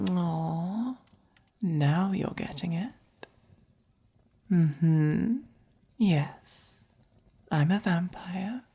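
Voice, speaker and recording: A woman voicing a gentle, possessive, doting vampire in a soft-spoken ASMR roleplay, with an English accent and breathy, whispery, coaxing delivery. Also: fairly low pitch, exaggerated voice. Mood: teasing, calm. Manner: slow, coaxing, gently commanding. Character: gentle, possessive, doting dominant vampire keeping a human pet.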